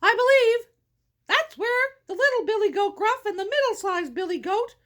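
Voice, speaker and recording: Big male fairy-tale goat, thoughtful self-talker, strikingly high pitch read by a woman. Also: expressive, normal speed, a bit bright. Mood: musing. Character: big male billy goat, musing to himself.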